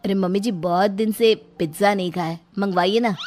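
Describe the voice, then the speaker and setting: A woman's voice: medium pitch, steady tone, brisk, a little mellow, lightly acted. A woman voicing a lazy, food-loving young son-in-law in a comic moral story, breezy and wheedling. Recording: music behind the voice.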